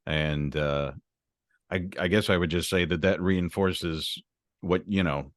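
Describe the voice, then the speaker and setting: Man, interview host, low voice, dry close sound, curious, affirming. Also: steady tone, slow pace, no echo. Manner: curious, affirming, thoughtful questions. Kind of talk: conversational interview questions.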